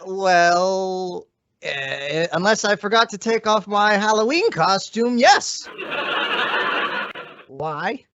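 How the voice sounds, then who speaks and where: A man's voice: sits high, expressive, slow pace, pauses often, noisy background, exaggerated voice. A man playing a breezy, slangy, wisecracking boyfriend in an old-time radio comedy. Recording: dull lo-fi radio-style recording.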